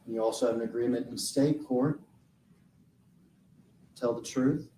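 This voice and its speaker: A man questioning a witness in a courtroom, slow and deliberate. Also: medium pitch, fairly even intonation, mellow, slight background noise. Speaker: prosecutor. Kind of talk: courtroom direct examination. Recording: roomy courtroom audio from far off.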